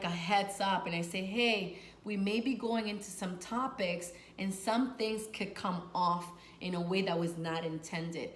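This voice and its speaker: A Dominican woman from New York, a life coach, gives conversational, earnest advice to camera with informal phrasing and emphasis on key words. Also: medium pitch. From Dominican, grew up in New York. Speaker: sex and life coach, video host. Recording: echoey room with faint noise.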